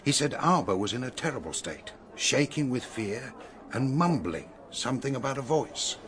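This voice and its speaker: An actor as a mid-pitched, blunt British detective. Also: male voice, very animated, normal speed, background noise, close to an everyday voice. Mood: businesslike. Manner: businesslike, blunt, clipped. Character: brisk, no-nonsense male police detective. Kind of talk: radio drama acting.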